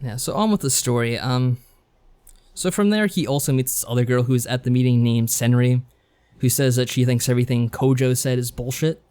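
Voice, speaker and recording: A man's voice: mid-range voice, brisk, warm tone, smooth. A man co-hosting a podcast, recapping a story in casual chat with a rambling, wry delivery. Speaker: podcast co-host.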